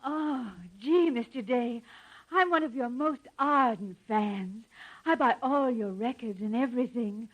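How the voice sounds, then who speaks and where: A woman's voice: fairly high pitch, measured pace, muffled recording. An actress playing a polite, effusive department store saleswoman in a 1950s radio comedy. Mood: gushing. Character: polite, eager saleswoman and gushing music fan.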